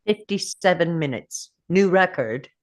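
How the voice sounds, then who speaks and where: A man playing a weary, grieving time traveller who muses slowly to himself in a script table read. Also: a little high, animated. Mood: musing.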